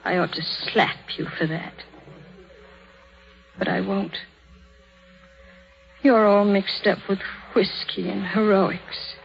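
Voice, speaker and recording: An actress playing a fiercely loyal, blunt and indignant young nurse in a 1930s radio drama. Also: female voice, medium pitch, steady tone, takes it slowly, creaky, pauses often. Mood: indignant, scornful. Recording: muffled, noisy 1930s radio broadcast.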